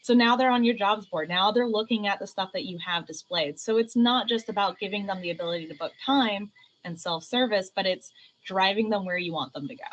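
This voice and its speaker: A woman's voice: medium pitch, brisk. A woman in her thirties or forties chatting casually as a guest on a video call. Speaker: panel guest. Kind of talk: casual chat. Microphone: video call microphone. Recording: noisy, dull video-call audio.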